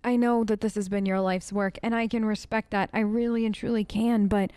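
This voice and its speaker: A woman hosting a casual role-play game video, expressive and chatty as she talks to the characters. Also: medium pitch, fairly quick, smooth, dry recording. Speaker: gaming video host. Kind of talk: in-character playthrough commentary, casual.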